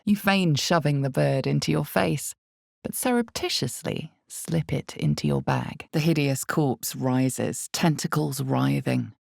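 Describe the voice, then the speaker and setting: A woman playing the ominous, knowing narrator of a dark fantasy game, speaking slowly and deliberately with dramatic weight in a low, gravelly voice. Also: dry recording, clean studio sound, exaggerated voice. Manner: slow, deliberate, dramatic delivery. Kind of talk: scripted second-person fantasy game narration.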